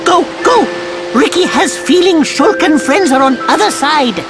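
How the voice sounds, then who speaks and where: Squeaky, eager little furry creature. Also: animated, unhurried, music in the background. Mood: eager, cheerful. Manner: speaks in third person with simple broken grammar. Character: small cheerful furry male Nopon creature, childlike, eager. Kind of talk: video game voice acting.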